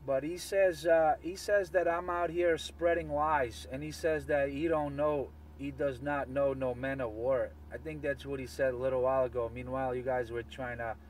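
Man on a distant-sounding CB radio. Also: medium pitch. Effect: CB radio transmission. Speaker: CB radio operator. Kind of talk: casual radio chat. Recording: distant, slightly noisy CB radio signal.

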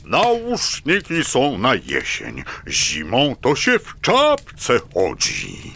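Casting: kindly fussy old Father Christmas, hugely swooping intonation, unhurried, children's radio play, faint noise. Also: mid-range voice, huge pitch swings, vocal fry, pushed and bright, full character voice. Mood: welcoming, fussing. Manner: fatherly, theatrical. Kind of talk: children's audio drama acting.

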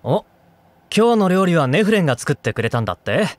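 A man's voice: a little high, sing-song, fast talker, dry recording. A man playing an easygoing, kindly young man, obliviously content, in a Japanese anime audio drama. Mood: content, curious. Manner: casual, easygoing.

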